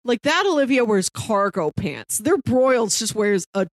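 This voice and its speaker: Female podcast co-host, slightly high voice, wry and jokey, casual chat, very dry recording. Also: expressive, normal speed, dry recording. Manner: playful, wry, jokey commentary.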